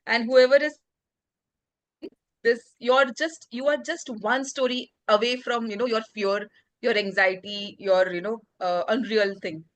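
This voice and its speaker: A woman's voice: fairly high pitch, steady tone, unhurried, no echo. A woman sharing a heartfelt personal story as a guest, encouraging others and using many fillers. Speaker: guest community member.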